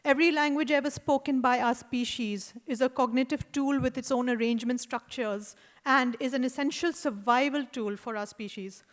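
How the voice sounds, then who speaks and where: A woman with an Indian accent, an artist reading a prepared lecture in a measured, deliberate way, with wry asides and hesitant fillers. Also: high voice. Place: lecture hall at an art event. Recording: long hall reverb.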